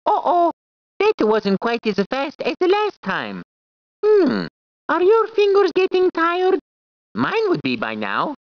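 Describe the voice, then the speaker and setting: A man's voice: very high voice, dry recording, heavily acted. A man voicing a cheerful, clowning cartoon plumber in a video game, with a put-on Italian accent, squeals and laughs. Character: cheerful plumber man, jokey, eager and bouncy. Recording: dull, dry game audio.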